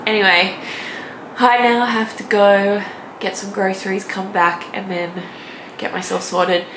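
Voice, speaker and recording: A woman's voice: mid-range voice, level tone, measured pace. A young woman talking casually to camera in a gushing, informal, rambling way, like a vlogger. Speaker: book vlogger. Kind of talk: casual chatty vlog to camera. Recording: noticeable background noise.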